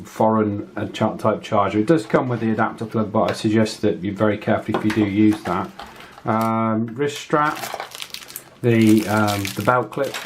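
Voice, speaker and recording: A man hosting a casual, unscripted hands-on product review, speaking hesitantly and pausing often mid-phrase. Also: on the low side. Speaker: radio review channel host.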